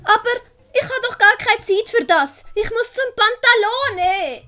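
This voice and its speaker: A woman's voice: very high-pitched, animated, a little slow, pushed and bright, pitched above the performer's own voice, exaggerated voice. A woman voicing a young, insistent servant maid in a comic audio play, urgent and plucky. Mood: urgent, protesting.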